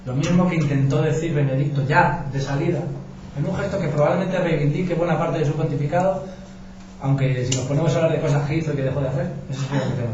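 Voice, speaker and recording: Man telling stories in an echoey room. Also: on the high side. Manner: colloquial, anecdotal storyteller with frequent restarts. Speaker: journalist giving a talk. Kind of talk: informal talk to an audience. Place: meeting room with audience. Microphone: distant room mic. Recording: echoey, distant, dull with noise.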